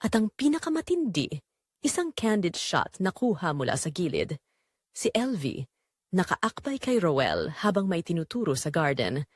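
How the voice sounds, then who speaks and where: Woman narrating drama with a lively, expressive pitch. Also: normal speed, dry recording, studio quality. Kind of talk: scripted dramatic story narration.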